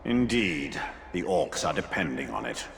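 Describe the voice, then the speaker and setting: Extremely deep, echoing wraith. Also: male voice, sing-song, a little slow, vocal fry, a bit bright, slight background noise, full character voice. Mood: ominous. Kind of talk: dramatic video game acting.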